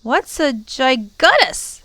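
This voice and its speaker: Lady doing a kid boy in a story, curious and impressed, high lively voice. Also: slow pace, no echo, exaggerated voice.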